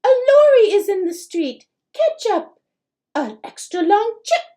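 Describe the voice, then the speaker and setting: Lady doing a tiny boy squealing with glee, very high. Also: big pitch swings, unhurried, dark tone, exaggerated voice. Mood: gleeful. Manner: gleeful, squealing. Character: joyful four-year-old boy.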